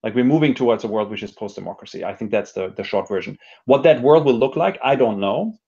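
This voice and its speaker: Slightly muffled man, reflective and halting. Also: medium pitch, expressive, vocal fry, dark tone, husky. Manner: reflective, hesitant, frequent self-restarts and hedging. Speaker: interview guest, technology educator and speaker. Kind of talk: thoughtful conversational interview.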